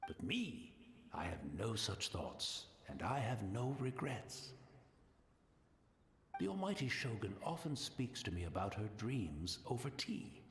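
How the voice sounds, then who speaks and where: An actor playing a gentle, courteous blind old man who keeps a tea house, rambling in an old-fashioned way in a game cutscene. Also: mid-range voice, echoey room, a little hiss or hum. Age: older. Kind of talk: video game cutscene voice acting.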